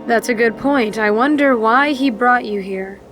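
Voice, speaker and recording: A woman's voice: on the high side, measured pace, music in the background, natural voice. A woman playing a casual giantess goddess in an audio drama, offhand and lightly scolding like a minder. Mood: offhand curiosity. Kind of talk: audio drama character acting.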